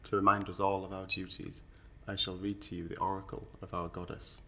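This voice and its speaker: Man playing a solemn, forceful-voiced fantasy officiant. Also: low voice, little pitch movement, brisk. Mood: solemn, reverent. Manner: stately, declamatory, formal. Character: solemn ceremonial officiant of a fantasy noble court. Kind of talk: fantasy audio roleplay, formal ceremonial oration.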